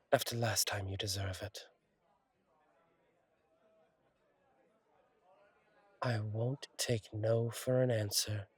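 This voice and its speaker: A man playing a kindly, easygoing fallen angel bartender in an intimate audio roleplay, talking in a husky, wry, conversational way with unhurried pauses. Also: on the low side, dry recording. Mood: friendly, generous.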